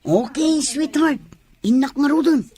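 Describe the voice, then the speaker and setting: A woman's voice: high-pitched, unhurried, mellow. A woman playing a devoted, teasing young tomboy in a radio drama, sweet-talking a partner. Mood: lovestruck. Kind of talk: Ilocano radio drama acting.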